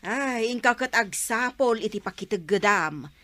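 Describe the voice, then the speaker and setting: Nagging village captain's wife, Ilocano accent. Sharp-edged, insistent, dry close sound. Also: female voice, fairly high pitch, lively intonation, moderate pace, no echo. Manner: scolding, insistent. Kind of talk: comedy radio drama acting.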